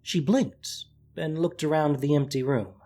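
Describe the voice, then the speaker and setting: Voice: medium pitch, a little slow, mellow. A narrator reading scripted prose for an audio drama. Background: music.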